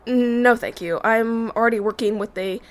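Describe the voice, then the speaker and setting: A woman's voice: fairly high pitch, steady tone, normal speed, natural voice. A woman acting in an audio drama as a young night security worker, reserved and hesitant but firm. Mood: polite, firm. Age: young adult.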